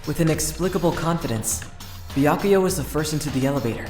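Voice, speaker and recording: A man's voice: on the high side, animated, fast, lightly acted. A man playing an earnest, anxious teenage boy, narrating dramatically in the first person for an anime fandub. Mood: somber. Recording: roomy echo, loud music behind.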